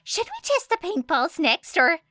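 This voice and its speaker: Casting: chirpy teen girl inventor played by a woman, high, very animated, breezy, for an audiobook story. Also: moderate pace, no echo, clean studio sound, full character voice. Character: beaming, eager teenage girl inventor.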